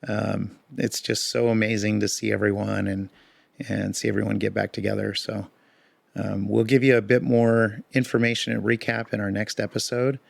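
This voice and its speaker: A man co-hosting a podcast in casual chat, a bit hoarse, talking in a relaxed, rambling way with fillers. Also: lowish voice, normal speed, dry recording. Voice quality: gravelly. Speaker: podcast co-host.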